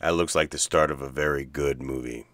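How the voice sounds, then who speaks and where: A man's voice: very deep voice, fairly even intonation, fairly quick, a bit bright, no room sound. A middle-aged man hosting a reaction show, talking casually and informally to his viewers.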